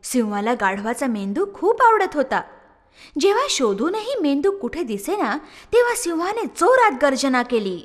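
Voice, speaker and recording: A woman narrating a children's fable in a high, very lively voice that rises and falls expressively. Her storytelling is sing-song, and a long reverb trails behind each line. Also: normal speed.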